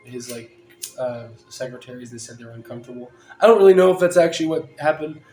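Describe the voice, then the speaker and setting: A man hosting a podcast in casual chat, rambling and joking with bursts of loud laughter. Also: mid-range voice, lively intonation, fast. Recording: roomy room with faint ambience.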